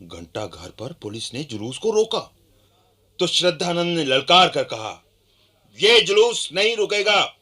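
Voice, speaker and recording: Banke Bihari, animated bright-voiced male storyteller. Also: on the high side, measured pace, full character voice. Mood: solemn, proud. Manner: animated, emphatic, declamatory when quoting. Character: Banke Bihari, earnest Indian freedom-era traveller recounting peasant uprisings. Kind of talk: Hindi radio play dialogue, dramatic storytelling.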